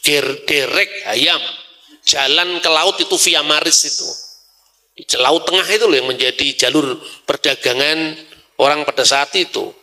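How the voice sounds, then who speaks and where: Animated Javanese-accented man, bright and tense. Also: a little high, unhurried. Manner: animated, asks himself questions and answers them; drops into Javanese asides. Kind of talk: lively lecture-style talk.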